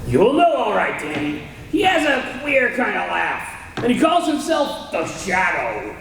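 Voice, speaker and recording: A man's voice: high voice, wildly swooping pitch, unhurried, vocal fry, a crowd in the background, exaggerated voice. An actor playing a condemned male killer in a radio drama, talking tough gangster slang, tense and scheming. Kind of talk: 1930s radio drama acting. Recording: echoey old radio recording from far off.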